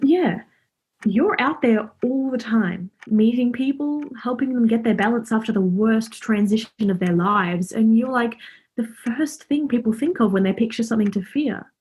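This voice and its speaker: Casting: friendly, cheeky young woman played by an actress, highish husky voice, informal rambling delivery, radio drama. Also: normal speed, darkish tone, low-quality recording. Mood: earnest, forthright. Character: Laura, chatty, cheeky, friendly young woman befriending Death. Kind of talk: radio play acting.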